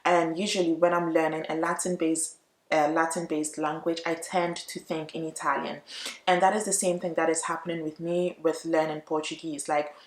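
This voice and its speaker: Low-pitched woman vlogger in an echoey room. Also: flat delivery, moderate pace. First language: English. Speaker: YouTube language vlogger. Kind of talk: casual explainer chat to viewers.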